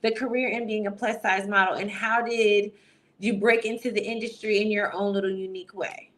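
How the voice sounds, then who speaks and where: A woman of middle pitch hosts a casual interview. She chats informally and keeps encouraging her guest. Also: little pitch movement, brisk, fairly bright tone. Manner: encouraging, chatty, informal ("girl", "daggone"). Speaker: host.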